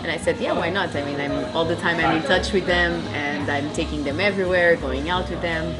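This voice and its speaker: Low-voiced Portuguese woman in her thirties. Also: fairly quick, loud music behind the voice, poor audio. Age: 30s. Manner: relaxed storytelling with fillers. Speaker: volunteer supervisor. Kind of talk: interview answers.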